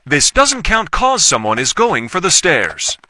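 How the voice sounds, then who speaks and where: A man's voice: fairly high pitch, wildly swooping pitch, unhurried, background noise. A man giving casual gameplay commentary in short, repetitive declarations. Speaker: gaming video creator.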